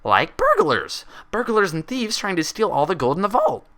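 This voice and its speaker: A man's voice: high voice, huge pitch swings, normal speed, a bit bright, pitched above the performer's own voice, exaggerated voice. A man voicing a hyper, naive female cartoon pony with an eager, curious manner.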